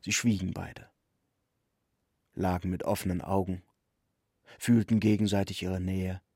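A man's voice: low-pitched, level tone, a little slow, darkish tone, no room sound, studio quality. A man narrating a literary radio drama from a script. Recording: clear music bed behind the voice.

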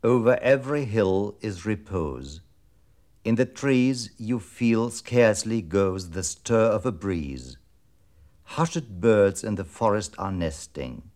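Animated, declamatory reciting computer unit. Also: male voice, lowish voice, slow pace. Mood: solemn recital. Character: male computer memory unit, earnestly reciting processed verse. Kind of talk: formal recitation, 1960s German radio drama.

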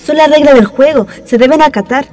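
A woman's voice: high voice, a little fast, darkish tone, long reverb tail, soft background music, natural voice. A woman playing a matter-of-fact young townswoman gossiping with friends in a radio drama.

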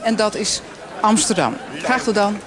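A performer playing a formal, measured news reporter narrating a report in a comedy show. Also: medium pitch, lively intonation, natural voice. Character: formal television news reporter on royal visit. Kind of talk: parody scripted news narration. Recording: loud music and crowd behind.

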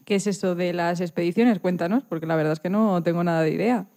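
Very mellow-voiced Spanish woman interviewer. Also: on the low side, fast talker. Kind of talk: informal interview.

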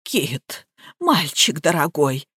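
A woman's voice: sits low, big pitch swings, measured pace, dry recording, studio quality, voice pushed down, full character voice. A woman voicing a haughty old schemer in an audiobook, drawling with theatrical, saccharine sweetness. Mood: whimpering, plaintive.

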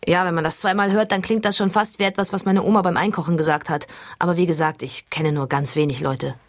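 A woman's voice: lowish voice, steady tone, fast, lightly acted. Actress as a dry, sceptical, awkward woman. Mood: dry, doubtful. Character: naive, sceptical, socially awkward woman being advised. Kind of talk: scripted satirical dialogue.

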